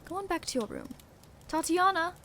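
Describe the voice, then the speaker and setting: A woman's voice: very high voice, very animated, a little fast, gravelly, exaggerated voice. Woman playing a commanding lady of the manor. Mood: firm. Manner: formal, firm. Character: commanding, formal lady of the estate, aunt. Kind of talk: amateur radio play acting. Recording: faintly noisy home recording.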